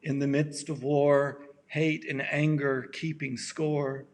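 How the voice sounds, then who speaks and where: A raspy-voiced man preaches at a very unhurried pace, with long pauses between his phrases. His delivery is gentle and halting, and his throat sounds worn by allergies. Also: fairly high pitch, little pitch movement. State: allergies acting up. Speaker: pastor. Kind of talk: slow reflective sermon.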